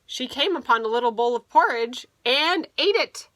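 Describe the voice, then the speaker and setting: A woman with a high, bright voice reads a children's picture book aloud as a teacher would. Her delivery swings expressively up and down, and she drops in explanatory asides for young listeners. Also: expressive, moderate pace, no echo. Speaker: teacher reading to a young class.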